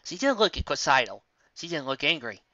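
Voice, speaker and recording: Male story narrator, expressive scripted read, animated pitch, dry close sound. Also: brisk, no room sound, highs cut off.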